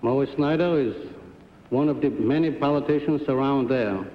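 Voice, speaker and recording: A man's voice: mid-range voice, normal speed. A man giving terse answers as a game show contestant. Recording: dull echoey 1950s TV recording, faint noise.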